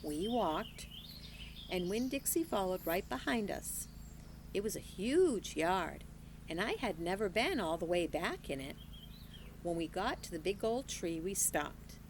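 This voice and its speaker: Slow, expressive woman reading a story. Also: lowish voice, a little hiss or hum. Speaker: teacher. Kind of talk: reading a children's novel aloud.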